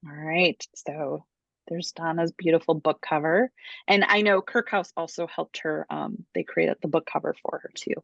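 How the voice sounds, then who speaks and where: Gravelly-edged woman hosting a casual class. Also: deep voice, steady tone, moderate pace, fairly bright tone, no echo. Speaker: host of a book publishing Q&A class. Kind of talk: casual online class talk. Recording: dull lo-fi video call audio.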